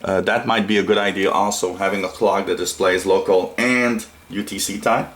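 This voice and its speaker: A man's voice: sits low, steady tone, normal speed, a bit bright, a little hiss or hum. A man from Canada giving a casual, unscripted hobby product tutorial, talking in a hesitant, rambling way. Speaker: shortwave radio hobbyist presenting a product. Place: small indoor room at a radio desk.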